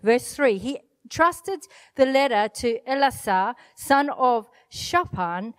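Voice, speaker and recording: A middle-aged woman pastor whose first language is Italian, preaching an animated sermon from a church stage into a handheld mic, with self-deprecating asides. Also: fairly high pitch, slow pace, clean tone, no room sound. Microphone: handheld microphone.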